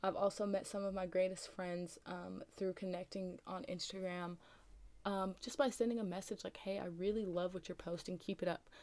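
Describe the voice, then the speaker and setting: A young American woman hosting a casual solo podcast, with a slightly raspy just-woke-up voice and a rambling, chatty way of talking full of ums and likes. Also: fairly low pitch, level tone, normal speed, a little mellow. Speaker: podcast host.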